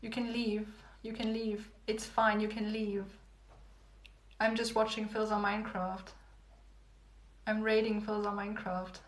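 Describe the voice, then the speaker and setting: A young woman chatting casually with livestream viewers, rambling and repeating herself with little laughs, her voice going hoarse. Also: medium pitch, flat delivery, measured pace, pauses often. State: losing her voice. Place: home room. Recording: echoey room, phone livestream.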